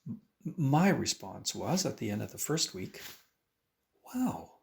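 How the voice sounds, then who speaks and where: Animated man in a roomy recording. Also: medium pitch, unhurried, gravelly. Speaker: private violin teacher. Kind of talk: casual interview recollection. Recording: roomy, distant mic, faint noise.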